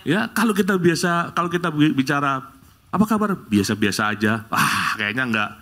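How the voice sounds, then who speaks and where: A middle-aged man from Bali leads a church prayer, then preaches a sermon to the congregation. His talk is lively, rising to loud exclamations and jokes with the congregation. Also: on the high side, normal speed, soft background music. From Bali, Indonesia. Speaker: guest preacher. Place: church service.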